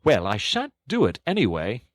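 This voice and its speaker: Toad: a man doing a boastful, excitable toad. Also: medium pitch, normal speed, no echo, studio quality, pitched above the performer's own voice. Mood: indignant. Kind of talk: audiobook character voice.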